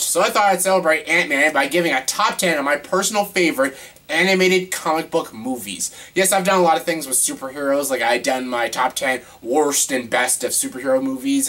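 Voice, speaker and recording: A man with a cold and a stuffed-up nose, chatting excitedly and rambling through a casual top-ten video. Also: fairly high pitch. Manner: excitable, rambling, repeats himself. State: congested with a cold. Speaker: vlogger ranking films.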